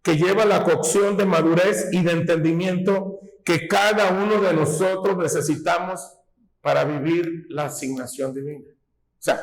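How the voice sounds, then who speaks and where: Slow preacher in an echoey hall. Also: male voice, high voice. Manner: folksy, asks rhetorical questions, teaching tone. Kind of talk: sermon.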